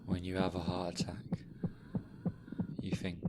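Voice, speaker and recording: Haunted low-voiced man, brooding and deliberate. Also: completely flat delivery, heavy background noise. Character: anxious haunted man recalling his own heart attack. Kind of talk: dramatic monologue in an audio drama.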